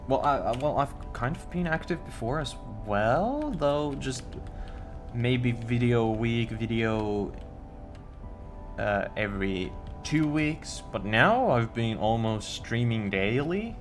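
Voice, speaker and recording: Slow, halting Finnish-accented male streamer. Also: medium pitch, animated, faint music behind. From Finland. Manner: halting, stumbles over words. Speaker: game streamer. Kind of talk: casual live-stream chat while building.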